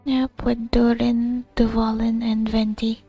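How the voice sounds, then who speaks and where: A woman's voice: fairly high pitch, completely flat delivery, takes it slowly, dark tone, faint music behind. A woman playing a video game and giving casual commentary to herself as she goes. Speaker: video game player recording a playthrough.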